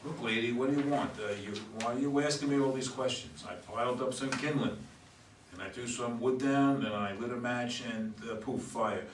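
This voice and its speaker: A man in a stage play reading, playing a flustered, evasive homeless man with a halting, stammering delivery. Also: medium pitch, close to an everyday voice. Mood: defensive, flustered. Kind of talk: stage play read aloud by readers' theater. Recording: echoey room, distant mic, faint noise.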